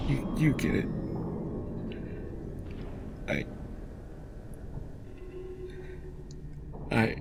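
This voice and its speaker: A man playing a distraught, haunted writer in prison, telling his horror story in a halting, stammering first-person monologue. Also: mid-range voice, background noise. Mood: distraught, grieving. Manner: halting, stammering, repeating words. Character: haunted, distraught male writer jailed for his son's death.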